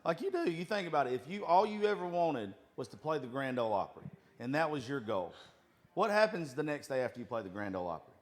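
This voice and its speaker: Animated man chatting. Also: medium pitch, fast. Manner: animated, profane, emphatic advice-giving. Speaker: podcast host. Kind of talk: casual chat over drinks.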